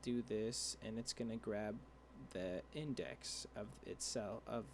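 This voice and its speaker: A young man explaining a coding tutorial over a screen share, rambling and halting with many fillers and restarts, his voice dry. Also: lowish voice, steady tone, a little hiss or hum. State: dry voice, clearing throat. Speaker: tutorial creator.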